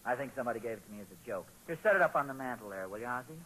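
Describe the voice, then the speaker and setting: A man's voice: medium pitch, animated, very fast, fairly bright tone, a little hiss or hum, close to an everyday voice, rough recording. An actor playing a well-meaning, flustered father in 1940s radio comedy-drama, hedging and backpedaling in a homespun way. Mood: casual, doubtful. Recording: 1940s radio broadcast recording.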